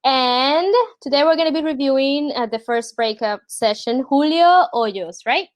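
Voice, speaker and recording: A woman's voice: high voice, a little slow. A woman from Puerto Rico, a native Spanish speaker with a Puerto Rican accent, hosting an informal online presentation in a chatty way. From San Juan, Puerto Rico. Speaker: host, product designer reviewing a portfolio. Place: remote video call.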